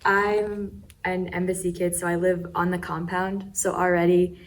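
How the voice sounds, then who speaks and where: A teenage girl talks in a loose, casual way, leaning on "like" as she goes. Her voice is fairly low and stays flat in tone. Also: a little slow, a little hiss or hum, rough recording. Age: teens. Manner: casual, frequent "like". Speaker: student. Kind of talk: opinion statement in a discussion.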